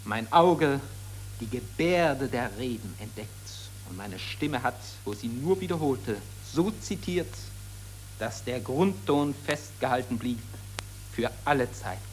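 An actor playing an impassioned satirist who accuses warmongers and summons the war dead, declaiming solemnly in 1940s German radio drama style. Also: male voice, medium pitch, lively intonation, measured pace, a little hiss or hum, close to an everyday voice, low-quality recording. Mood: solemn, bitter.

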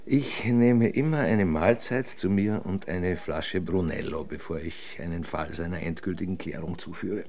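An actor playing a dry, pedantic male investigator in a radio play, speaking in a deliberate way. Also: low voice, darkish tone. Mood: probing, dry. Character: methodical male investigator, dryly pedantic, fond of food and wine. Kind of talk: German radio play dialogue.

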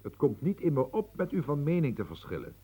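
An actor playing a deferential but needling abbé secretary in a radio play. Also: male voice, fairly high pitch, huge pitch swings, brisk, dark tone, breathy edge, exaggerated voice. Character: timid, pedantic male abbé secretary. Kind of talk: radio drama acting.

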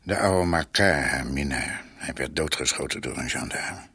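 An actor playing a grave, devoted older doctor in a Dutch radio drama, with a husky voice. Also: male voice, rumbling low, moderate pace, pushed and bright, no echo, exaggerated voice. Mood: grave, hushed.

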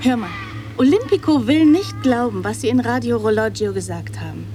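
A woman's voice: a little high, expressive, moderate pace, warm tone, a little hiss or hum, lightly acted. An actress playing a naive, dreamy young woman in a radio drama, speaking in an earnest, artless and insistent way. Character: naive, dreamy, poor young woman, eager and literal-minded. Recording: studio radio drama with background ambience.

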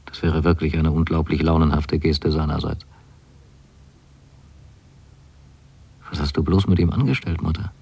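A man acting a wayward young son writing to his mother in a radio drama, with a husky, gravelly voice and measured delivery. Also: extremely low pitch, fairly even intonation, a little mellow, full character voice. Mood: yearning, insistent.

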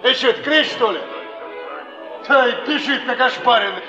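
An actor playing a gruff, cynical working man with a blunt, mocking manner in a stage drama. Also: extremely high pitch, normal speed, over music. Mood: teasing. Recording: echoey stage, dull archive sound.

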